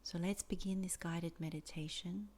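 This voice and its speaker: Soft, low-pitched woman guiding meditation. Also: fairly even intonation. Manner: slow, deliberate phrasing with many pauses. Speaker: meditation teacher. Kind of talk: guided meditation instruction.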